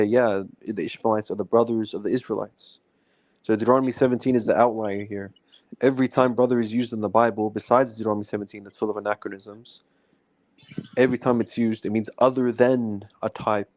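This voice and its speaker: Low-voiced man arguing in a dry recording. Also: a little mellow, no room sound. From Lebanon. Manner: argumentative, explanatory, checks with "right?". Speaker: debate panelist. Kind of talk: informal religious debate.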